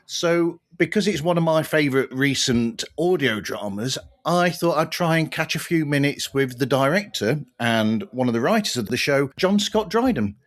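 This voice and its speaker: A man's voice: fairly high pitch, animated, normal speed, hardly any pauses. A man hosting a podcast, introducing and interviewing guests in a conversational way.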